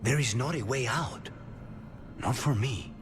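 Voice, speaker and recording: An actor playing a tormented, resigned assassin imprisoned in his own mind in a video game, halting and defeated. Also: mid-range voice, lightly acted. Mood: resigned, weary. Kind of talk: dramatic video game acting. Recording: loud music behind the voice.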